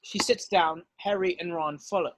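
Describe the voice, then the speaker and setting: A woman's voice: lowish voice, normal speed, a little hiss or hum, poor audio. A woman narrating stage directions from a script.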